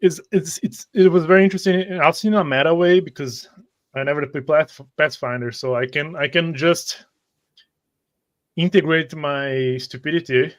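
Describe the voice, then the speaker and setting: A man with a somewhat high-pitched voice, speaking as a player in a tabletop game. He keeps it informal, like talk among friends. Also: normal speed. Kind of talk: casual chat.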